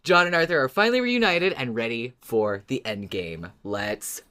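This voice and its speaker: A man with a medium-pitched voice co-hosts a fan podcast. He talks casually, with playful enthusiasm, moving between recap and chat. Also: lively intonation, normal speed, sharp tone, smooth. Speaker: podcast co-host.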